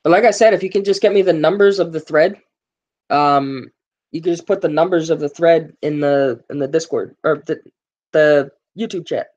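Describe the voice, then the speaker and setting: A man's voice: a little high, normal speed, a little mellow, muffled recording. A man hosting a casual gaming live stream, rambling with plenty of fillers and joking with his viewers. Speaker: gaming livestream host.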